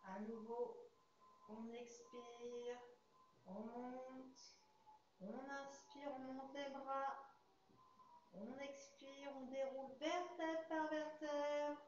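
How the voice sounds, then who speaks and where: A young woman with an English accent leading a Pilates class, calmly calling out breaths as she demonstrates, recorded from a far camera in a large studio. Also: high-pitched, drawn-out words, long pauses. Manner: calm, counts breaths while demonstrating. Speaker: Pilates instructor. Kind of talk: guided exercise class. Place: large fitness studio with hard floor, indoors. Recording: echoey studio, dull sound, faint hiss.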